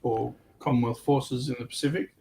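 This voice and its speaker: A man on a livestream panel, telling stories casually and repeating himself in a halting way as he explains. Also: mid-range voice, fairly even intonation, creaky, warm tone, a little hiss or hum. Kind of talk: casual chat, informal storytelling.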